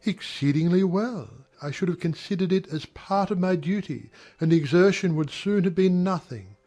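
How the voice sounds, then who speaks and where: A woman voicing a smooth-talking, self-pitying young gentleman in a dramatized audiobook, wistful and mannered. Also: on the low side, lively intonation, moderate pace. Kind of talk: dramatized audiobook character acting.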